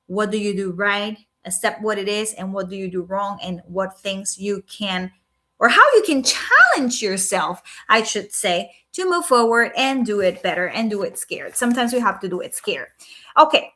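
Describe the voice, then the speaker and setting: A woman's voice: mid-range voice, animated, moderate pace, bright, forceful tone. A woman from Puerto Rico with a Puerto Rican Spanish accent, Spanish her first language, hosting a casual live stream from home at night, earnest and chatty with frequent "right?" and "um". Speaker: life coach hosting a livestream.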